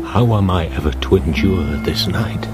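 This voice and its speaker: A man acting a vengeful, haunted count in radio drama. His voice is deep and controlled, courtly in phrasing and quietly intense, with prominent music behind it. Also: close to an everyday voice. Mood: troubled, hushed. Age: middle-aged. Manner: measured, formal, quietly intense.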